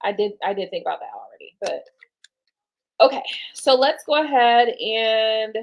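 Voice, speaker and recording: Chatty woman doing a lively card reading. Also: fairly high pitch, normal speed. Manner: chatty and rambling, talks to spirit and viewers. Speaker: tarot card reader. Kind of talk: casual live-stream card reading.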